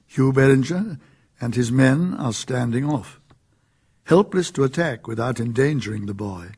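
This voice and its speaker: An older English actor playing the narrator of a medieval radio drama, telling the tale in a measured, gravelly voice. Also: male voice, mid-range voice, lively intonation, darkish tone. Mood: measured storytelling.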